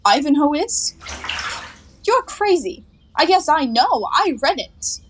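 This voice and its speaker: An actress playing a flippant, quick-witted young woman in a 1920s comedy audio play, breezy and playfully sarcastic. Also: sits high, big pitch swings, slight background noise, full character voice.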